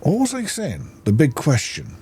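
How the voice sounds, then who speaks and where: A man's voice: a little high, huge pitch swings, normal speed, dark tone, a little hiss or hum. A middle-aged man giving casual gameplay commentary, rambling and thinking aloud. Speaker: gaming YouTuber.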